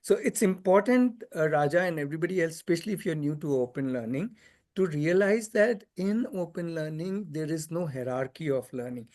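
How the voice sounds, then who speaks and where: A man leading a parents' meeting, explaining ideas in a joking, animated way. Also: a little high, brisk. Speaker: community facilitator. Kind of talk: casual discussion.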